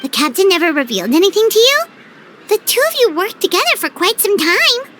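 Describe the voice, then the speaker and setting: A woman voicing a tiny, excitable childlike fairy companion in a video game, squeaky and exclamatory. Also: a little fast, slight background noise, exaggerated voice. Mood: curious, surprised. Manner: exclamatory, speaks of herself in third person. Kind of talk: video game cutscene acting.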